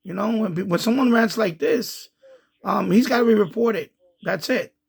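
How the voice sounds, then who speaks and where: A man's voice: sits high, moderate pace, vocal fry, breathy edge. A man hosting a ham radio channel, talking casually and rambling with many restarts and repeats. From United States. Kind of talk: casual commentary to camera.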